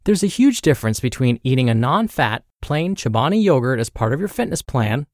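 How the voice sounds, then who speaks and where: A man narrating a health podcast, reading a blog post aloud as host with clearly phrased, presenter-like delivery. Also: medium pitch, big pitch swings, brisk, darkish tone, dry recording, studio quality.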